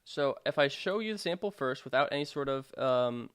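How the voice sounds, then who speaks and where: Clear-voiced man giving a dry tutorial. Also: medium pitch, animated, moderate pace, a bit bright, no room sound. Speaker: sound design tutorial presenter. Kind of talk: software tutorial narration.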